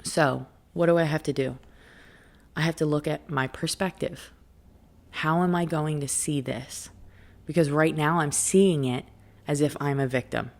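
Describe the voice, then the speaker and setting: A woman's voice: sits low, steady tone, unhurried. A woman hosting a reflective motivational monologue, speaking candidly and conversationally, with emphasis and rhetorical questions. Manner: asks rhetorical questions and answers them. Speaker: podcast host and life coach.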